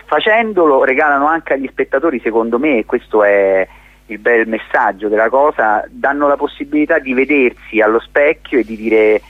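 A man's voice: medium pitch, moderate pace, no echo. A man, a theatre director speaking as a guest in a conversational radio interview, explaining articulately while searching for words. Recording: thin phone-like radio line.

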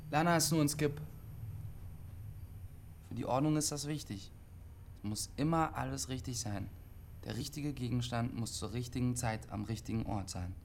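A man's voice: medium pitch, moderate pace, gravelly, long pauses, slight background noise, lightly acted. An actor playing a withdrawn, literal-minded CB radio operator in his twenties, matter-of-fact and pedantic, in a naturalistic radio drama. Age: 20s.

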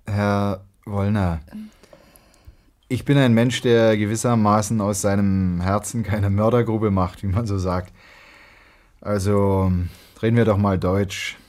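An actor playing a pushy, scornful young husband in a radio drama, speaking in an impatient, sardonic, colloquial way. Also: male voice, on the low side, darkish tone. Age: young adult. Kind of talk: naturalistic German radio drama dialogue.

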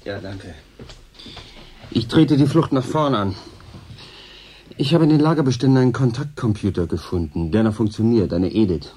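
A frank, easygoing station crewman in a radio play. His voice sits fairly low. He talks plainly and practically, pausing to think. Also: animated, warm tone, slightly rough. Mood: pragmatic, frank. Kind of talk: German radio drama acting.

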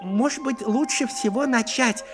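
An actor playing a pompous, lecherous high priest of ancient Greece in a theatrical audio drama, unctuous and self-satisfied. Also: male voice, unhurried, over music. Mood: lecherous, coy.

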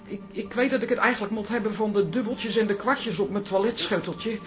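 An actress playing an older, hard-up aunt in a radio comedy drama, with hesitant, wry, self-pitying delivery. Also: female voice, medium pitch, fairly quick, natural voice. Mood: hesitant pleading. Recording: music behind the voice.

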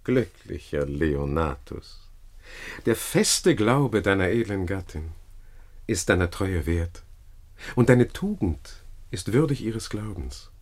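An actor playing a scheming, flattering Roman gentleman in a classical radio play, speaking courtly, florid verse. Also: deep voice, slow, heavily acted. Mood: flattering, contrite.

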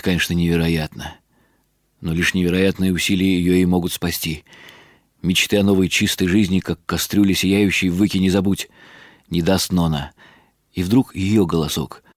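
A man's voice: deep voice, no room sound, clean studio sound. An actor playing a weary, wry middle-aged writer who narrates his own story in ironic inner monologue for a radio drama. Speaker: narrator and lead character.

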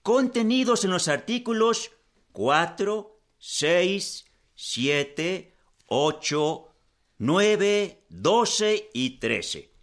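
An actor playing a solemn convention delegate, declaiming formal resolutions deliberately and emphatically in a historical radio drama. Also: male voice, high-pitched, very animated, heavily acted. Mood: solemn, emphatic.

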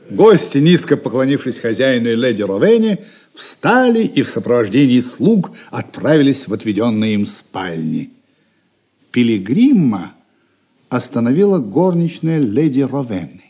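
A man's voice: mid-range voice, sing-song, unhurried, warm tone, slightly rough. A man narrating a scripted audio drama as a storyteller.

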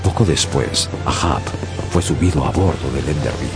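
Sailor-narrator: very deep, measured, radio drama with music. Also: male voice. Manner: measured storytelling. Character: young sailor narrating his voyage.

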